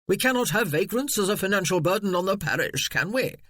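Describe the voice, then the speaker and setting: A puffed-up, blustering gentleman cousin, as a male narrator puts him on in a period storybook. He talks high and loud with big swings in his voice, full of his own importance. The recording is dry and close. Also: expressive, brisk, no echo. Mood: pompous. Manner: pompous, blustering, then fawning. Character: pompous blustering male cousin, middle-aged.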